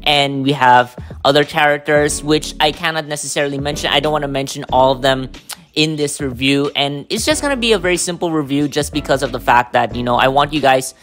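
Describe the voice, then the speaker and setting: A man's voice: a little high, fairly quick, fairly bright tone, faint music behind. A man hosting his own podcast, giving a casual, unscripted show review in a chatty way, with lots of fillers and restarts. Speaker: podcast host. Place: New York City.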